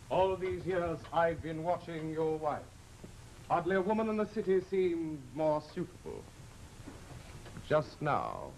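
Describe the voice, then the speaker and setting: An actor playing the Devil as a proud, courtly gentleman, mannered and over-polite, with deliberate pauses, in old-time radio horror style. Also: fairly high pitch, slight background noise, rough recording. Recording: vintage radio drama, music behind.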